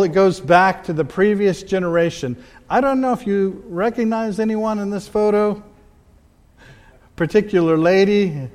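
A middle-aged man giving a conversational missionary slide talk to a church audience, pausing and restarting as he goes. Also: high voice, darkish tone. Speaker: missionary speaker. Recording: long hall reverb.

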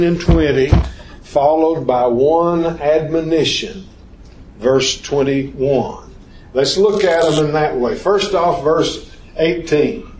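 A man teaching an informal Bible study lesson, talking slowly and haltingly with restarts and questions to the class. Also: a little high, a little mellow, a little hiss or hum. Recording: echoey room.

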